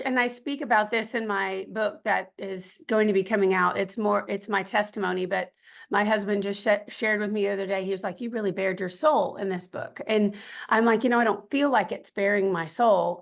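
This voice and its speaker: Woman, middle-aged, raspy, gravelly fry, earnest testimony, hesitant fillers. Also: mid-range voice. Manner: earnest, faith-filled storytelling with many ums. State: clearing her throat, voice still waking up. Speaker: podcast guest. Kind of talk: personal testimony in an interview.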